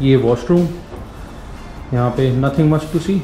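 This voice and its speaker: A man's voice: mid-range voice, slow, warm tone. A man in his thirties giving a casual walking tour of an apartment building, chatting in Hindi with English phrases, filmed on a handheld action camera. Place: new apartment building, rooms and rooftop. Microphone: handheld action camera, wide angle. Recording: echoey empty rooms, music underneath.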